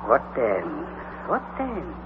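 A bold, authoritative older scientist holds forth in a high, lively voice. He speaks in theatrical old radio drama style. The sound is a thin, phone-like broadcast with faint hiss. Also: moderate pace. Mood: musing. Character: older male scientist uncle, bold and commanding. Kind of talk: 1940s radio acting.

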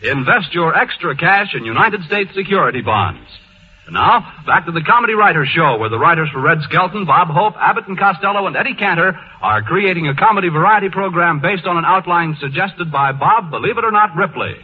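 A man reading a scripted radio announcement in a smooth, persuasive announcer delivery. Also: fairly high pitch, animated, fairly quick, phone-quality sound. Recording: thin old radio broadcast with faint hiss.

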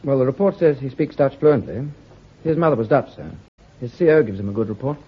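An actor playing an efficient, deferential army captain who says sir a lot. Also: male voice, mid-range voice, animated, a little fast, breathy edge. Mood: dutiful. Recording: dull lo-fi radio recording.

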